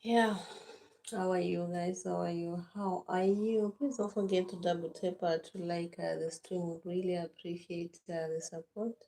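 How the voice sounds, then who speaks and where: Hoarse woman from zimbabwe just woke up, low gravelly voice, chatting slow on a live stream from her veranda. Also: flat delivery, dark tone, poor audio. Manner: slow, halting, with many "uh" fillers. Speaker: livestream host. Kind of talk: casual live-stream chat. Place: outdoors on a sunny veranda. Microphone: phone on the floor.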